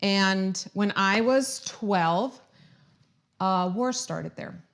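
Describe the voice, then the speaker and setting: A woman's voice: mid-range voice, drawn-out words, gravelly. A woman in her thirties from Croatia, hosting a casual live-stream chat in a chatty, upbeat way, rambling with restarts and asides. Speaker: lifestyle video host.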